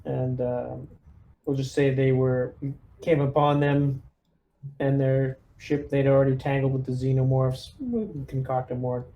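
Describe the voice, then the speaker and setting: A man's voice: mid-range voice, flat delivery, unhurried, warm tone, muffled recording, slight background noise. A man chatting casually as a player in a roleplaying game, thinking aloud with some hesitation. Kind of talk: casual chat during a game.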